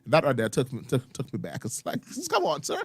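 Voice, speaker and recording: Male morning co-host, highly animated delivery, casual, dry studio sound. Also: brisk, vocal fry, slightly rough, no room sound. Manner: animated, laughs readily. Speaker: co-host of a morning radio show. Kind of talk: casual chat, reacting to listener comments.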